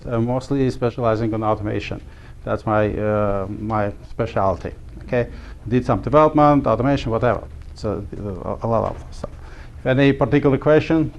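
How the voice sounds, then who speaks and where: A middle-aged man from Armenia teaching a class, talking haltingly with many fillers and restarts. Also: mid-range voice, a little mellow. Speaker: instructor. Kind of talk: classroom lecture. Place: small classroom.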